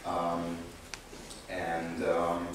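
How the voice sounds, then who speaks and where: A young man with a Hungarian accent speaking on a conference panel, slow and deliberate, with many hesitations and restarts. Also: sits low, completely flat delivery. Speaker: panelist, activist. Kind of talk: panel discussion talk. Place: large indoor conference room, seated on a sofa panel. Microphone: handheld mic, recorded from a far camera. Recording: echoey hall from far off with background noise.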